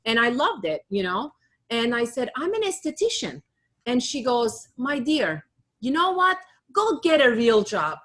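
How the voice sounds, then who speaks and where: A woman's voice: fairly high pitch, sing-song, fairly bright tone. Turkish-accented woman telling her story. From Turkey. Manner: laughing storyteller with halting restarts. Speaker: podcast co-host, beauty academy owner. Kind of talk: casual chat.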